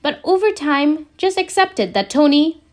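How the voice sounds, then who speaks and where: A woman's voice: high-pitched, very animated, unhurried. A woman reading a scripted piece of fan fiction aloud as a storyteller. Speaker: podfic narrator. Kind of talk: scripted fan fiction narration, read slowly.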